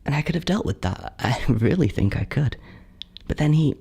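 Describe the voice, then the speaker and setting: A man playing a bitter, neglected older sibling in a horror monologue, hushed and confessional, often slipping into whispers. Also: a little high, lively intonation, normal speed, gravelly, husky, voice pushed up, exaggerated voice. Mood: seething, jealous.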